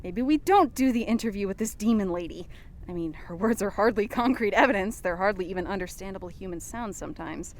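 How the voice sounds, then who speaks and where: A woman's voice: medium pitch, expressive, a little fast, natural voice. A woman acting as an anxious, skeptical young investigator in an audio drama, with a nervous, exclamatory manner.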